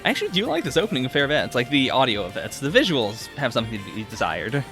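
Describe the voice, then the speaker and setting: A man's voice: medium pitch, huge pitch swings, fast talker, a bit bright, soft background music. A man chatting casually as a podcast co-host, reacting to anime as he watches.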